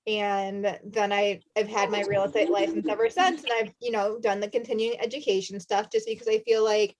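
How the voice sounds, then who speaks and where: A woman's voice: mid-range voice, little pitch movement, moderate pace, rough recording. A woman in her thirties, a podcast host chatting casually and telling stories. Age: 30s. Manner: chatty, storytelling, laughs often. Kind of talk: casual chat.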